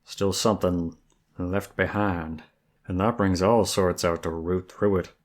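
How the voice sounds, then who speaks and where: A man plays a friendly male werewolf guide with an easygoing Southern American drawl. His voice sits fairly low and moves very slowly. He is folksy and flirtatious, talking intimately to one listener as in an ASMR roleplay. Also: steady tone. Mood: calm, ominous.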